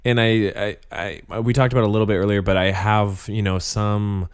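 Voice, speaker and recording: A man from Indonesia whose first language is Indonesian, speaking with an American accent as an animated podcast guest who laughs and says "like" a lot. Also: on the low side, brisk, clean tone. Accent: General American. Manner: animated, laughing, filler-heavy storytelling. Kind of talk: casual podcast chat.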